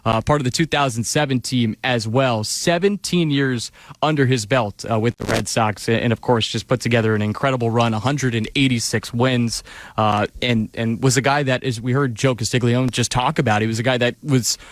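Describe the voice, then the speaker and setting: A man hosting a pregame radio show from a studio, speaking in a steady broadcast manner with the odd filler word. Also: medium pitch, normal speed, no room sound. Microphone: studio microphone.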